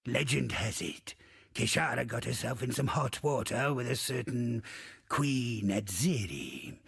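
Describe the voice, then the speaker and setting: An actor playing a bawdy, wistful old ghost in a video game, theatrical and drawling, with a gravelly, rasping voice. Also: male voice, mid-range voice, lively intonation, unhurried, a bit bright, dry recording, clean studio sound, full character voice. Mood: yarn-spinning. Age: older. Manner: theatrical, salty archaic pirate talk, swooping and drawling. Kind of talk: video game character monologue.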